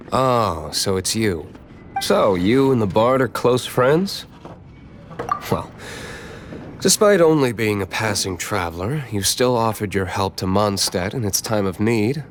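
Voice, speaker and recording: Low-voiced, brooding tavern owner. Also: male voice. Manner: dry, terse, composed. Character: cool, brooding young tavern owner, guarded and curt. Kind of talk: anime video game voice acting. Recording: faint music behind.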